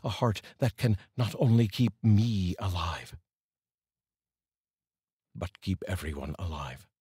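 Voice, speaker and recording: A middle-aged man speaking in an earnest, deliberate way, like a host reading poetry aloud, up close to a studio microphone. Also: sits low, lively intonation, dark tone, breathy edge, no room sound. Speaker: host and voice-over reader. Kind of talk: solemn, measured poetry reading and personal address to viewers. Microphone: large studio microphone, up close.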